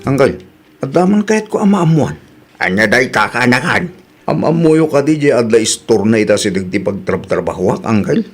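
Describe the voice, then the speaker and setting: A man's voice: mid-range voice, sing-song, normal speed, lightly acted. A man in his thirties with an Ilocano accent playing an eager, shyly flirtatious nephew in a radio comedy. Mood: sheepish. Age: 30s.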